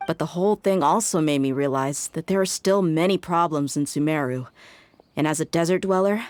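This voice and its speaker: A woman's voice: low voice, brisk, a little mellow, dry recording, lightly acted. A woman playing a tough, easygoing and bold desert mercenary in a video game, speaking in a casual, self-assured way. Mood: earnest confidence.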